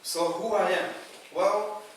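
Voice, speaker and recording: A man from Belgium, a native French speaker with a Belgian French accent, gives an informal conference talk as a story, in halting English with acted-out dialogue. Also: high-pitched, steady tone. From Brussels, Belgium. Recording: echoey, noisy room, distant microphone.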